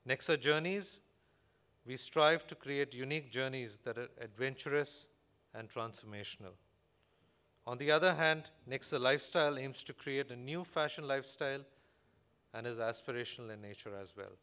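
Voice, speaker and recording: Male corporate executive, Indian accent, mid-pitched voice, formal and measured delivery of a prepared conference address from a podium. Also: pushed and bright, clear, resonant tone. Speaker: corporate executive giving a keynote speech. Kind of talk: prepared conference presentation read from a script. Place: large hotel conference hall, on stage at a podium.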